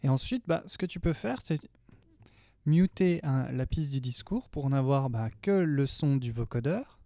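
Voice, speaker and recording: A man's voice: medium pitch, lively intonation, measured pace, warm tone, dry recording. A man in his thirties giving a casual, chatty, slangy tutorial as a music production tutor. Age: 30s. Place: small home studio indoors.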